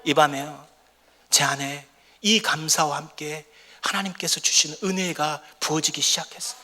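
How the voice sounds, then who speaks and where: A man who is a missionary, hoarse from a lingering cold, giving a fervent, impassioned mission report in church. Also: a little high, fairly even intonation, moderate pace. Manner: fervent, impassioned preaching that swells to a shout. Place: church sanctuary. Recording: reverberant church hall.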